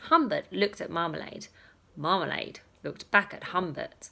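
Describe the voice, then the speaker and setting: A woman reading a children's story aloud, with dramatic pauses and drawn-out emphasis. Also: fairly low pitch, gravelly, sharp tone. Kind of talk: animated children's story narration.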